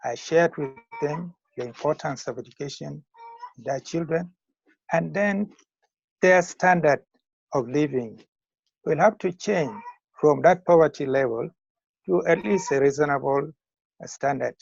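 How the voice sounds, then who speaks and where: An older man in his sixties with a Ugandan accent, giving measured, formal interview answers as a school founder. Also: a little high, vocal fry, husky, dry recording. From northern Uganda. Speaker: school founder and retired teacher. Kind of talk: interview answers on a video call. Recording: muffled lo-fi call audio.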